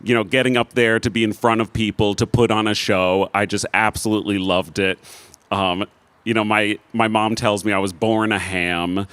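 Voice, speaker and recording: A man's voice: on the low side, level tone, moderate pace, a bit bright, clean tone, no echo. A man who sings opera, telling his own story casually as an interview guest, good-humored and self-deprecating.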